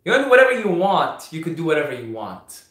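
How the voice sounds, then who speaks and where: A man's voice: on the high side, very animated, fast talker, fairly bright tone. A young man originally from Syria, hosting a casual live question-and-answer stream, who often repeats his words and talks through fillers and restarts. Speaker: host answering viewer questions. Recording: echoey room.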